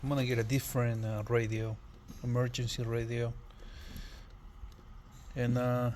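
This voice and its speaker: A man giving a casual, unscripted product review in an offhand, halting way, thinking aloud as he goes. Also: medium pitch, completely flat delivery. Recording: loud hum and background noise.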